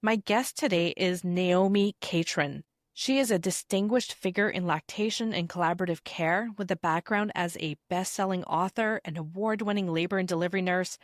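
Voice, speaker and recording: A woman's voice: on the low side, no room sound, clean studio sound. A woman hosting an interview podcast, moving between scripted introduction and conversational talk.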